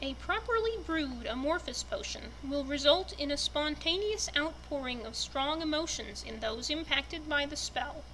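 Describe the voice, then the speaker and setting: High, bright-voiced woman reading aloud. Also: lively intonation, normal speed, hardly any pauses, a little hiss or hum, poor audio. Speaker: reader presenting a fantasy book. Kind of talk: dramatic reading of a written fantasy text.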